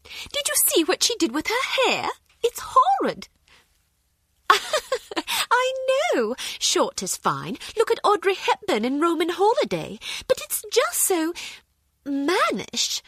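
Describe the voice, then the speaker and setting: An actress playing a mannered, gossipy but dutiful office secretary in a video game. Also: female voice, extremely high pitch, huge pitch swings, slow pace, fairly bright tone, dry recording, clean studio sound, heavily acted. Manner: chatty, mannered.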